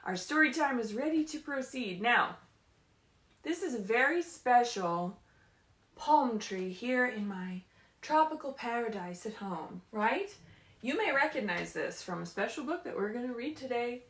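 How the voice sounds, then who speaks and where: Lady doing storytime for little kids, kinda high voice, animated n encouraging, echoey room at home. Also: unhurried. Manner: animated, encouraging, asks the children questions. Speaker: children's librarian hosting story time. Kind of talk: children's story time, read-aloud to kids. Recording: roomy, echoey home livestream.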